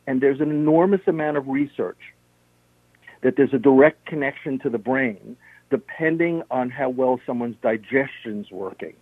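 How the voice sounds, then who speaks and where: Male guest, instructive and methodical, husky tone on a narrow phone line, dry. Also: medium pitch, moderate pace, no echo. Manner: steady, instructive, lists points one by one. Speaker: health practitioner guest. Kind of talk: radio interview, explaining health tips.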